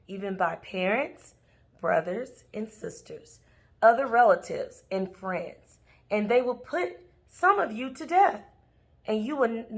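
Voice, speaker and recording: A woman reading scripture aloud in a measured, deliberate way, pausing between phrases. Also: a little high, gravelly. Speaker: scripture reader.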